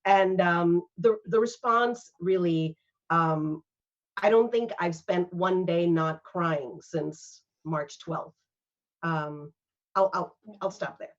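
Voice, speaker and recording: A woman's voice: on the low side, slow. A woman from Singapore, an arts fund organizer, speaking earnestly and from the heart in a panel conversation over a video call. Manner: earnest, heartfelt, with frequent fillers. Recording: dull lo-fi video call audio.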